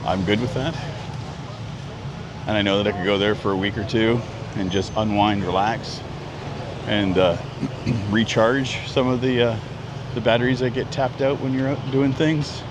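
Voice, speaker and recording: A man's voice: lowish voice, steady tone, moderate pace, long pauses. Rambling man in his fifties outdoors. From Canada. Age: 50s. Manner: rambling, chatty, many ums and restarts. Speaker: travel vlogger. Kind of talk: casual live-stream vlog. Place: outdoors at a busy market street. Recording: street traffic noise outdoors. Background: traffic and street noise.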